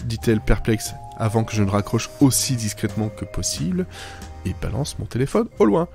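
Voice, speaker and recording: A man's voice: on the low side, big pitch swings, brisk, vocal fry, over music. A man narrating a scripted humour podcast in a wry, self-deprecating manner.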